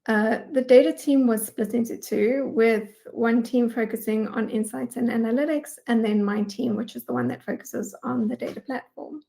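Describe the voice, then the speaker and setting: Creaky, higher-voiced South African woman presenting. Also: steady tone, moderate pace, few pauses, warm tone. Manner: informal, conversational. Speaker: guest speaker, data engineering manager. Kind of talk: prepared webinar presentation.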